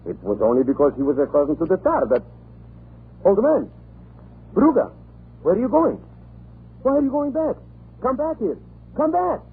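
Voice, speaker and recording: An actor playing a talkative, irreverent Russian government official in a radio drama, chatty, excitable and theatrical. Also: male voice, high voice, normal speed, long pauses, husky, heavily acted. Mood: alarmed. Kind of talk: 1940s radio drama acting. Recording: muffled, noisy old radio recording.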